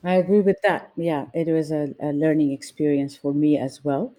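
Deep, dark-voiced woman from Ethiopia. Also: moderate pace. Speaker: nurse and family advocate. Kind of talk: interview guest.

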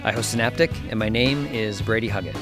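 A man hosting a science podcast, telling a story in a measured, scripted way before interviewing his guest. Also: fairly low pitch, over loud music. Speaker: podcast host. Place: office.

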